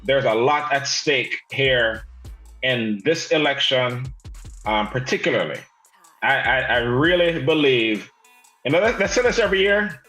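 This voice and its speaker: A man's voice: medium pitch, fairly bright tone, roomy sound, low-quality recording. A man co-hosting a casual panel chat, joking and teasing the others. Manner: joking, teasing, breaks into laughter. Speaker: co-host.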